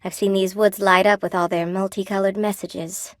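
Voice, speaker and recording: Video game spider weaver woman, played by an actress: mid-pitched, coy, folksy and wry, friendly chatter, dry close studio sound. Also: level tone, normal speed, no room sound, close to an everyday voice. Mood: warm, confiding. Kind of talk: video game character dialogue. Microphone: close dry studio microphone.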